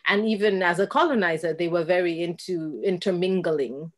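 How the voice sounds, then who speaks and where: A middle-aged woman from Kenya, a dancer talking as a guest on a video call from a home room, reflective and animated, acting out the exchanges she recounts. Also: fairly low pitch, normal speed. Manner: reflective, animated storyteller who acts out quoted exchanges. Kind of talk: casual conversation on a video call. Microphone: video call, laptop mic.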